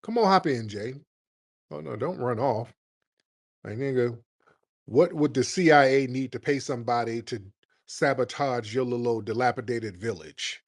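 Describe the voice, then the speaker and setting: A man hosting a live call-in show, combative, mocking and animated. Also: medium pitch, normal speed. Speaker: host.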